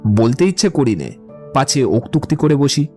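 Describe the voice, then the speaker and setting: An actor playing a witty, poetry-loving young romantic suitor in an audio drama, with eloquent, theatrical delivery. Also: male voice, mid-range voice, sing-song, normal speed, warm tone, soft background music, close to an everyday voice. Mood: teasing, witty. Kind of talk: Bengali audio drama acting.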